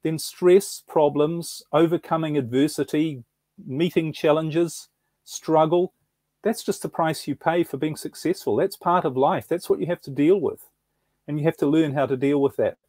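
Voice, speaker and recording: A middle-aged man talks over a video call from a small room, mellow and a touch higher-pitched. He tells his story reflectively, often stopping to restart a thought. The sound is dry and close. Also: fairly even intonation, normal speed, no echo. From New Zealand. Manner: reflective, frequent restarts and self-corrections. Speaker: guest. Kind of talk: interview storytelling.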